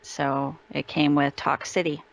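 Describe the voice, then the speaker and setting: A woman's voice: sits low, level tone, unhurried, gravelly, rough recording. A woman answering as a guest in a casual interview, telling stories in an easygoing way.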